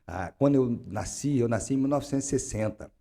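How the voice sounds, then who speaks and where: A man talks through an interview in a loose, rambling way, often restarting and tagging his sentences with questions. His voice is dark and husky. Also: medium pitch, animated, fast. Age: 60s. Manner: rambling, frequent "né?" tags and restarts. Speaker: interview guest. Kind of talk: conversational interview.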